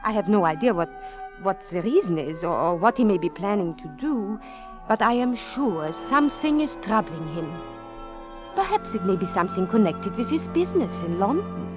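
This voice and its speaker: An actress playing a lonely, middle-aged widowed innkeeper, gentle and formal, in a 1940s radio drama. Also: female voice, mid-range voice, very animated, normal speed, over music, close to an everyday voice. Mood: worried. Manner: wistful, formal, careful phrasing.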